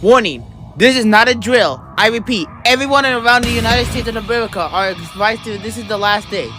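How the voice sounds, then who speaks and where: An alarmed broadcaster, played by a man, warns of doom in an emergency broadcast drama. The voice is extremely high and despairing, set against very loud music. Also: brisk, close to an everyday voice. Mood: urgent alarm. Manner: urgent, repetitive warnings.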